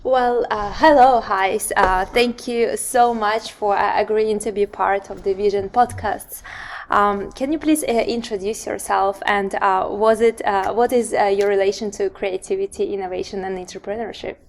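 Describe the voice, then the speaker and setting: Halting woman interviewer with medium pitch. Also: steady tone, slight background noise. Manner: halting, hesitant phrasing. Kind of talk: interview questions.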